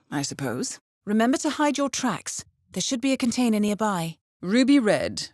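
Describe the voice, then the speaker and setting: An actress playing a cool, composed intelligence handler in a video game, briefing an assassin with articulate dry wit. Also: female voice, mid-range voice, no room sound. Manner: composed, dryly witty, articulate. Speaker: mission handler.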